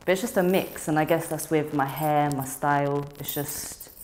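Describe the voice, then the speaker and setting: Low-voiced young woman, frank and relaxed. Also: normal speed, a little hiss or hum, low-quality recording. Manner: frank, relaxed, laughs easily, many ums. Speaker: interviewee. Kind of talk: casual interview chat.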